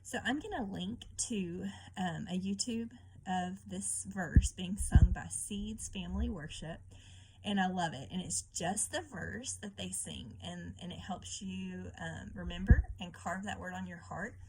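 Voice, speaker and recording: A woman hosting a devotional read-aloud for children, talking casually and explaining gently like a teacher. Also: fairly low pitch, measured pace. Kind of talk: casual devotional talk and story reading. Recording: clear background noise with clicks.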